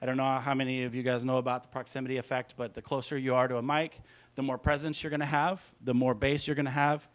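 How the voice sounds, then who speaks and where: A man's voice: medium pitch, flat delivery, fast talker. Casual man walking through audio production. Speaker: music producer giving a presentation.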